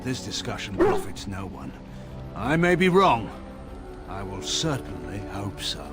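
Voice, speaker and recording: Stern, weary general, swooping intonation. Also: male voice, fairly low pitch, big pitch swings, music in the background. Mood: curt, guarded. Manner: grave, measured, formal, dryly sardonic. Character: proud, weary, stern middle-aged general and father. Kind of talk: dramatic fantasy game dialogue.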